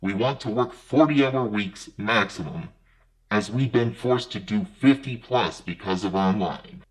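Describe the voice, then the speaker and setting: An angry anonymous game studio insider, voiced low and deep, as a man reads his post aloud. The words come in a steady, even read, aggrieved and set on being heard. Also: low-quality recording.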